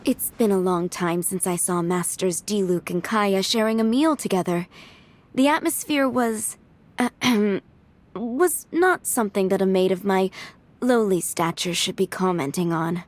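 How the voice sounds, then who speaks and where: A woman playing a doting, deferential manor maid in a fantasy video game, speaking formally and gently coaxing. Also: mid-range voice, unhurried, lightly acted. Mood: courteous, fond. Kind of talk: video game cutscene voice acting.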